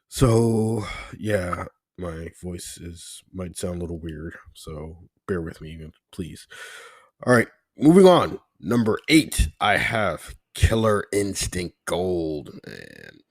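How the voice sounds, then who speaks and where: A man with a cold-roughened voice, casually ranking his favorite old games in a rambling, laid-back way full of fillers. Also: deep voice, sing-song, slow pace, no echo, clean studio sound. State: hoarse from a cold. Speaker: gaming channel host.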